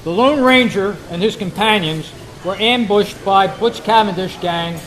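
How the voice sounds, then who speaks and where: High-pitched man reading quiz questions. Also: a bit bright, low-quality recording. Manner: deliberate, repeats each question. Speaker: quiz host at a club meeting. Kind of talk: reading out trivia questions to a group.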